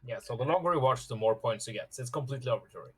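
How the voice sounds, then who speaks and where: A man's voice: mid-range voice, very fast, fairly bright tone. A man chatting casually as a guest co-player, joking in a playful, mock-solemn way. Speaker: guest co-player on a game stream. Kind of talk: casual gaming chat.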